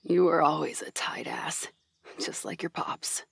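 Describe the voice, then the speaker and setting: A woman's voice: low voice, a little slow, vocal fry, breathy edge, no echo, whispered, clean studio sound, exaggerated voice. A woman playing a tough, blunt veteran fighter, wounded and strained, in an anime audio drama. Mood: wry, defiant. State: coughing, weakened and faltering.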